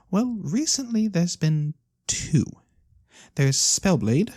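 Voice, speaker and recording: A performer voicing a mysterious, courteous fantasy lorekeeper in a soft-spoken ASMR roleplay, breathy and theatrical with knowing pauses. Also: mid-range voice, dry recording, clean studio sound. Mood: coy, businesslike. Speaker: storyteller character.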